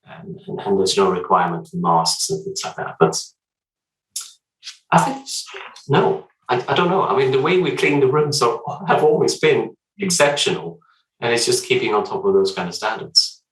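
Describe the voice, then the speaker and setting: A man from Sweden with a Swedish accent, a guest talking through his work in a measured, hesitant way with frequent restarts. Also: mid-range voice, very animated, fairly bright tone, echoey room, far from the mic, rough recording. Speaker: hotel development director, podcast guest. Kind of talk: casual interview chat.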